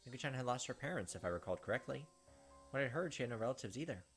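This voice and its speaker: A let's play host reads a visual novel aloud at a brisk pace, slipping into casual, sympathetic reactions. Soft game music plays underneath. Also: mid-range voice, lively intonation.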